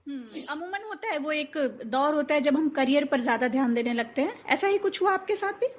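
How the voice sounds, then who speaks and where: A woman's voice: high-pitched, animated, a little fast. A woman hosting a conversational interview and asking questions. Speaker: interviewer, host.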